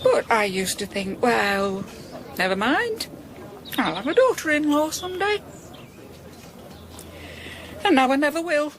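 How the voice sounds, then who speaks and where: A woman's voice: high voice, sing-song, unhurried, long pauses, a bit bright, slight background noise, heavily acted. An actress playing a grieving, plain-spoken middle-aged working-class mother in a radio drama, sorrowful and wistful.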